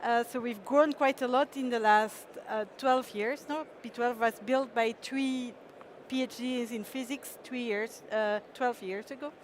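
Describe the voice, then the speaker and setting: A woman's voice: a little high, steady tone, unhurried, hardly any pauses, slight background noise. Hesitant woman presenting her company. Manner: hesitant, frequent fillers, laughs easily. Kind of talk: startup pitch presentation.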